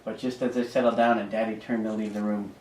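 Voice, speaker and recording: A man reading his children's book aloud to kids in an animated storybook style. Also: lowish voice, quick pace. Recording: echoey home recording, distant mic, background noise.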